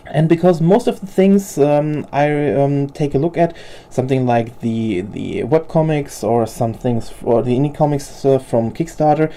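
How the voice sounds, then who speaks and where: A woman's voice: rumbling low, moderate pace, dark tone. A young woman whose first language is German, hosting a casual show-and-tell about her collection in a hesitant way, with many fillers and restarts. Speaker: figure collector video host.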